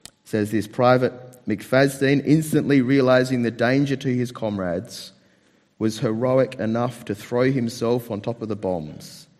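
Male pastor, mid-pitched and a touch croaky, telling stories in a sermon, long hall reverb. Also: unhurried, a little mellow. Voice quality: slightly croaky. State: slightly hoarse. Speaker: pastor preaching. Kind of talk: sermon with storytelling. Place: church hall, speaking to a congregation. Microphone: microphone with amplification.

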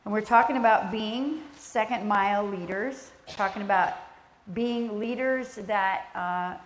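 A soft-spoken woman teaches a church session in a gentle, conversational way, pausing on fillers as she goes. Her voice sits at an ordinary middle pitch. The sanctuary makes it ring with echo over a faint hum of room noise. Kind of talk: sermon teaching with prayer.

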